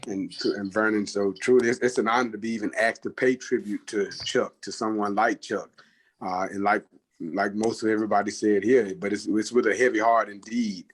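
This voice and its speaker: Earnest lower-voiced man paying tribute. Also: fairly even intonation, poor audio. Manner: earnest, halting with repeated words. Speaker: guest, executive director of a cooperative federation. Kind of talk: spoken tribute over a call. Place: outside a doctor's office. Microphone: phone or call audio.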